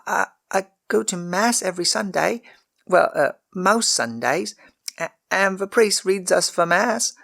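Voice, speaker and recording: A man's voice: animated, a little slow, vocal fry, a bit bright, heavily acted. A man putting on a timid little scullery girl. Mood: defensive. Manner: stammering, pleading, high-pitched. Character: timid poor ten-year-old scullery girl.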